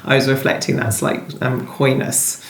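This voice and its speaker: A woman's voice: very deep voice, level tone, normal speed, gravelly. A woman novelist being interviewed, talking conversationally and thinking aloud, often hesitating and rephrasing. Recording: roomy, echoey sound.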